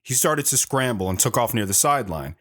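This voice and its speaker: A man playing a radio show guest who tells his story in a calm, matter-of-fact way. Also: medium pitch, fairly quick. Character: plainspoken former high-school athlete.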